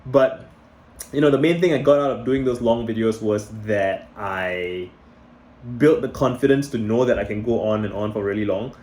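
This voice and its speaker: A man's voice: lowish voice, moderate pace, darkish tone. A man with a Singaporean accent, in his thirties, talking casually and rambling to camera, often restarting his sentences. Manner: rambling, self-correcting, conversational. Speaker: video blogger. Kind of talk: casual talking-head vlog.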